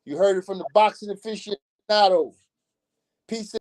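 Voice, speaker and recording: A talkative man with a somewhat high voice chats as a guest on a boxing show. His talk is loose and opinionated, rolling from one point to the next. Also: normal speed, a little mellow.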